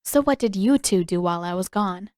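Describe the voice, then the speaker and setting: A woman voicing a guarded, sharp-tongued teenage sister in an audiobook story, with a dry, teasing, pointed manner. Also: mid-range voice, lively intonation, fairly quick, dry recording, lightly acted. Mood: curious, teasing.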